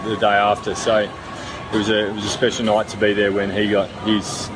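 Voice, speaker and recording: A middle-aged man from New Zealand, a former rugby player answering interview questions in a relaxed, rambling way with many fillers and restarts. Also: on the low side, moderate pace, noisy background.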